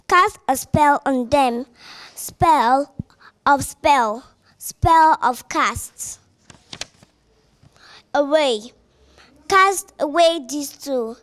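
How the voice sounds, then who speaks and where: Female reader, high voice, very slow and careful, children's storybook read-aloud. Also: fairly bright tone, no room sound.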